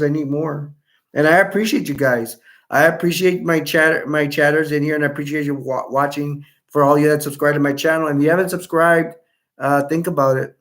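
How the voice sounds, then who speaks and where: A man hosting a casual live stream, chatting loosely with viewers and reading their comments aloud, his voice starting to go hoarse. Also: fairly high pitch. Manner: rambling, self-correcting, chatty. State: voice going hoarse.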